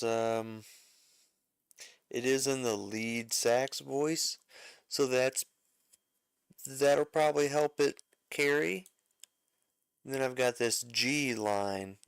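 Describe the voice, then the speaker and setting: A man's voice: fairly low pitch, smooth, no room sound, studio quality. A man giving a casual music arranging lesson, thinking aloud as he works, with frequent fillers and self-corrections.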